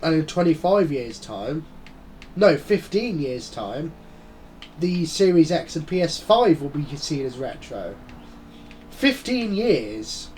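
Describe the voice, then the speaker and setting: A man's voice: on the high side, sing-song, takes it slowly, a little mellow, slight background noise, rough recording. A man giving casual, rambling commentary while playing a racing game. He has a blocked nose from a head cold and breathes through his mouth. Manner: rambling, chatty, muttering asides. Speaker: gaming channel host.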